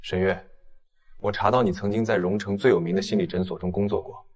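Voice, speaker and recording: A man playing a stern, restrained police detective in an audio drama, questioning gravely and with control. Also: lowish voice, fairly even intonation, brisk, a little mellow, muffled recording, close to an everyday voice. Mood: stern, probing. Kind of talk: dramatized audio fiction acting.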